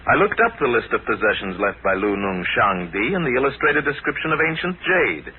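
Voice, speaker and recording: An actor playing a calm, knowing crime-fighting lama in a 1940s radio drama, measured and courteous as he explains. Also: mid-range voice, narrow, tinny audio, lightly acted. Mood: explaining. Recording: thin, noisy 1940s radio recording.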